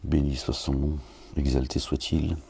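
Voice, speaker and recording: A man who talks casually with wry, grumbling asides to his listeners, then reads scripture slowly and solemnly. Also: a bass voice, little pitch movement, creaky, dark tone, husky. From France. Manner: slow, measured recitation with Arabic invocations. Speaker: preacher reading scripture.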